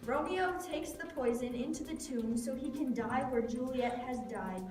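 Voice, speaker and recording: High voiced woman reading narration for a puppet show, super echoey, bit of music. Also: moderate pace, a little mellow. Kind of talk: scripted narration. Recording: very echoey room, distant mic, music behind.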